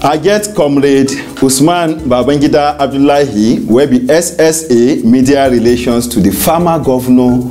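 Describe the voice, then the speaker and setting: Nigerian male host, thirties, warm mellow tone, studio discussion programme. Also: mid-range voice, moderate pace, hardly any pauses, faint music behind. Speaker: talk show host. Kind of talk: presenting a studio talk show in Pidgin. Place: small indoor TV studio.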